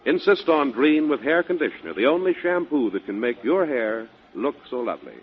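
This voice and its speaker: A man's voice: very animated, a little fast, very noisy. A man with an American accent announcing in a polished, emphatic 1940s radio commercial style. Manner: polished, emphatic sales pitch. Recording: tinny 1940s radio broadcast, music behind.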